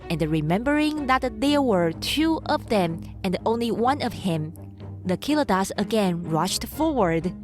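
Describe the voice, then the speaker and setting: Slow-paced woman narrating a children's story. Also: fairly high pitch. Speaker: audiobook narrator. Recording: music behind the voice.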